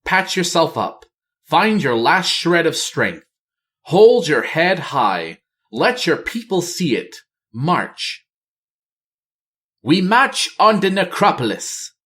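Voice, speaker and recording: A regal, determined queen of trolls from a fantasy storybook, put on by a man. The voice is raised in pitch and measured in pace. She sounds proud and resolute. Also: very animated, fairly bright tone, heavily acted.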